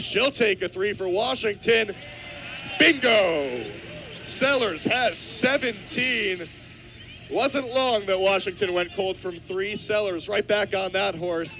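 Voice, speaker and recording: A man's voice: sits high, a bit bright, slight background noise. A man in his twenties calling live basketball play-by-play on the radio. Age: 20s.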